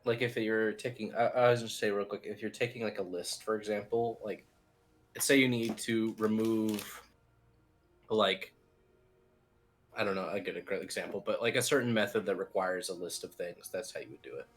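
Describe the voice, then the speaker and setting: A man's voice: fairly low pitch, moderate pace, a little hiss or hum. A man giving an informal technical tutorial as team lead, explaining things in a loose, rambling way. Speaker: software team lead, instructor.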